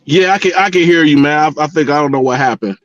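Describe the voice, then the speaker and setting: A middle-aged American man with a slightly high voice talks casually as a guest caller. He circles back over his words in a rambling way. The sound is dry and a little muffled, like an online call. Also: fast talker, no echo, faint music behind. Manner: rambling, repeats words, frequent "you know". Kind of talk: casual discussion of personal experience. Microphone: internet call connection. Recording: band-limited online call audio.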